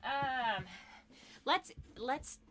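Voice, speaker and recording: A woman's voice: high-pitched, extremely slow, noisy background. A woman co-hosting a family vlog livestream, greeting viewers in a bubbly way and asking her guest questions. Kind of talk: livestream chat.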